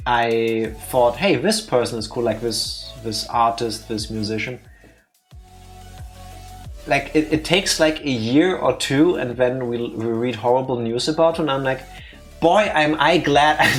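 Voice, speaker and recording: A man, talking casually as co-host of a game stream. He rambles and restarts his sentences, teasing his partner as he goes. Soft music plays under his voice. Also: mid-range voice. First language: Dutch. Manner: rambling, self-correcting, teasing. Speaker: let's play co-host. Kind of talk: casual chat over gameplay. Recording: faint music behind.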